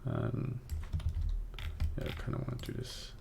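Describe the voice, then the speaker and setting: A man's voice: a little slow, background noise. A young man from Belgium with a Belgian accent, streaming a casual 3D tutorial at his home desk, thinking aloud and rambling as he works. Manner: rambling, thinks aloud, mutters to himself while working. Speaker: livestreaming 3D artist. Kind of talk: casual live tutorial with chat. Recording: faint keyboard typing and clicks.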